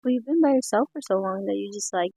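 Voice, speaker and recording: A woman's voice: medium pitch, lively intonation, fast, gravelly, warm tone, husky, no room sound. A woman in her thirties chatting casually with friends, exclamatory and teasing as she tells stories. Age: 30s. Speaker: podcast co-host. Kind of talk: casual chat among friends.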